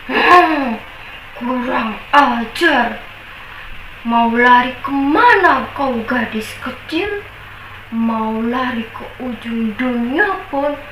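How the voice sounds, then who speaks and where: A greedy male giant who growls and hums before bellowing his threats, as a woman doing the voices puts him on. The voice stays high and swoops widely, bursting out loud. It rings around an echoey room. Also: animated, drawn-out words, pauses often, slight background noise, heavily acted. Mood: furious.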